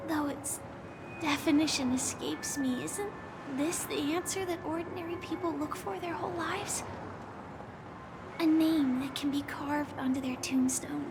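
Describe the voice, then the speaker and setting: An actress playing a solemn young female soldier in a game, speaking softly and earnestly with breaths between thoughts. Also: high-pitched, very noisy. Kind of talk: dramatic game monologue. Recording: loud music behind the voice. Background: loud music.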